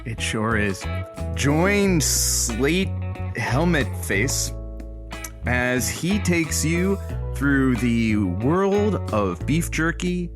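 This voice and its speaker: An awkward radio announcer in a comedic audio drama, easily swayed and anxious about romance. The delivery is hesitant and deadpan, yet the pitch moves a great deal. Music plays clearly behind the voice. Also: very slow. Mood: announcing.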